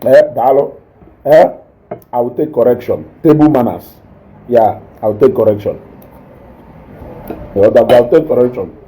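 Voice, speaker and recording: Loud Nigerian man chatting live. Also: mid-range voice, moderate pace, long pauses, a little hiss or hum. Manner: animated, mixing English with Igbo, eating while talking. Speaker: online show host reading viewer comments. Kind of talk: casual live-stream chat.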